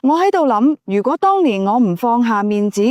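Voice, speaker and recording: Woman acting young female scholar, lovestruck, tender, teasing, Cantonese accent, high animated voice, audiobook drama. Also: moderate pace, no room sound, studio quality. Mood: lovestruck, dreamy. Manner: tender, dreamy.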